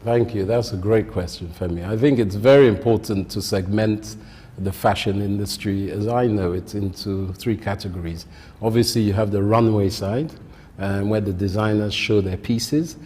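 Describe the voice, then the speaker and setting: A man with a Nigerian accent, a content creator and fashion designer answering interview questions in a measured way, with many ums. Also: fairly low pitch, darkish tone, breathy edge, in a large hall.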